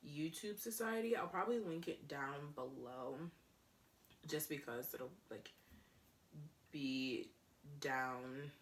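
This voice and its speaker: Low-voiced chatty young woman. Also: a bit bright, distant mic. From Rochester, New York, USA. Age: 20s. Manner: chatty, rambling, giggly, lots of ums and likes. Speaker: vlogger. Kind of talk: casual get-to-know-me chat.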